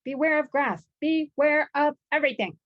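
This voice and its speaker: Storybook cyclops played by a woman: fretful overprotective worrier, emphatic warnings, pitched up high. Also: moderate pace, lo-fi sound, close to an everyday voice. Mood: worried warning.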